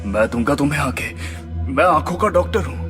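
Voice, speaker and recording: Frightened men in a cartoon horror story, voiced by a man, plead and fret in a fairly high voice. Music plays steadily underneath. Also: lively intonation, fast, natural voice. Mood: scared. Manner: pleading, fearful. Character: frightened adult man, shopkeeper and doctor. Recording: music bed.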